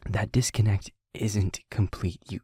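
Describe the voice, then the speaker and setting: A soft-spoken male vampire, acted by a man in an intimate audio drama. His voice is mellow, very breathy and captured close and dry. He speaks haltingly and with care, reassuring his newly turned partner. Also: lowish voice, flat delivery, no room sound, exaggerated voice. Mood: gentle, reassuring. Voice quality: breathy, husky, near-whispering. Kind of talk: intimate audio roleplay monologue.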